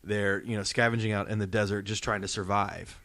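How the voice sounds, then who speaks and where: A man's voice: fairly low pitch, fairly even intonation, a little fast, a bit bright, no room sound. A middle-aged man, hosting a casual podcast discussion. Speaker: podcast host.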